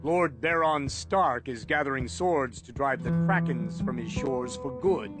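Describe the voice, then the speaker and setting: Man playing slow-spoken knight under loud guitar music. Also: medium pitch, natural voice. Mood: declaring. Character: male hedge knight, earnest fantasy storybook character. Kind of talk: audiobook character reading. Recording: loud plucked-string music over clip.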